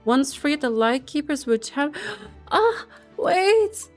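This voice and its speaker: A woman streaming a game, reading text aloud and reacting casually with a giggly, expressive manner. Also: high-pitched, slow, faint music behind.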